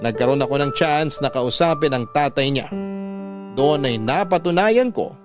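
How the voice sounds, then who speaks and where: A man's voice: mid-range voice, expressive, normal speed, over music. A man with a Filipino accent reading a scripted personal love story as narrator, in classic radio storytelling style.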